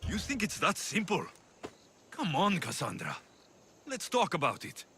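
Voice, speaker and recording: A man's voice: high-pitched, big pitch swings, unhurried, fairly bright tone, a little hiss or hum, full character voice. A man playing a stubborn, earnest Spartan mercenary brother in a dramatic video game. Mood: earnest pleading.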